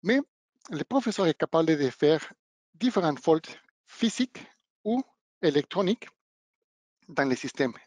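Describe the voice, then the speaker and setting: A man who is not a native speaker of the language, giving a webinar demonstration of training equipment and pausing often to search for words. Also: a little high, a little mellow, no echo. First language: not French. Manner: halting, searching for words, non-native phrasing. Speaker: product trainer.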